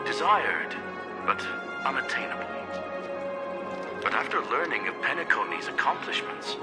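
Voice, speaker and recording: A man playing a refined, courteous robot intellectual in a video game, speaking in formal, precise, measured phrases. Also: mid-range voice, natural voice. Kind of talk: scripted game dialogue.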